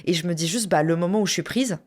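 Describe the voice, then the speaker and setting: A French woman telling a personal story in a casual interview, lively and slangy, with many fillers and restarts. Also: on the low side, fast talker. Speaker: podcast guest, entrepreneur.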